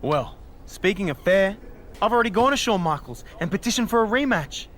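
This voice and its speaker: A cocky rival wrestler in a game story scene. His voice sits high and he issues challenges with competitive swagger. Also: expressive, brisk, a bit bright, a little hiss or hum. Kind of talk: video game cutscene acting.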